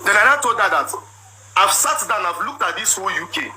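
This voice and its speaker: A man in his thirties with a Nigerian accent, telling his side of a marriage dispute in a loud, forceful, repetitive way. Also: high-pitched, lively intonation, brisk, crowd noise. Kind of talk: emotional personal account. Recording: noisy background.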